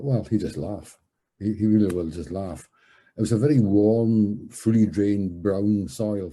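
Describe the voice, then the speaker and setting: A middle-aged or older man telling a personal memory in a halting, reflective way. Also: on the low side, mellow, a little hiss or hum. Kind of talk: personal oral history recollection.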